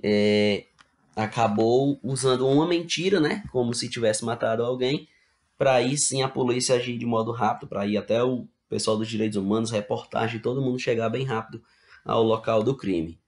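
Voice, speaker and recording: A male teacher at a middle pitch talks through a slide lesson. He reads each point aloud and then explains it again in everyday words. He keeps checking whether the students are following along. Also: moderate pace. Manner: explains casually, checks in with "né?" and "tá bom?". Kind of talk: online class lecture over slides.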